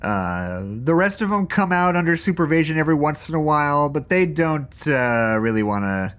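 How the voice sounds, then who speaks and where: A man's voice: fairly high pitch, sing-song, moderate pace, fairly bright tone, clean tone. An actor playing a jaded but easygoing male staffer in an audio drama, talking candidly in a hesitant, conversational ramble. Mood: frank, hesitant. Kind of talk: naturalistic audio drama acting.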